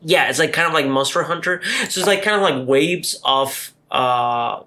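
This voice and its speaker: A man's voice: on the high side, normal speed, sharp tone. A man co-hosting a podcast in a casual chat, rambling with lots of fillers and teasing his co-host.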